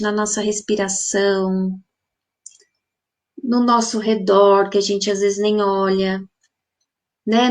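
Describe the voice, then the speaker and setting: A woman hosts a spiritual healing group, musing aloud in a live reflective talk and asking listeners many rhetorical questions. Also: medium pitch. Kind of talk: live reflective talk answering viewer comments.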